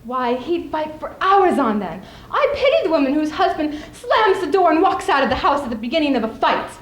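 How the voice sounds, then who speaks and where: A young woman performing a stage monologue as a spirited, scheming widow, speaking emphatically with deliberate theatrical pauses. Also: sits high, big pitch swings. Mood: feisty, fond. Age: young adult. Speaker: student speech team performer. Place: indoor school showcase stage. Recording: echoey room, clearly audible background noise.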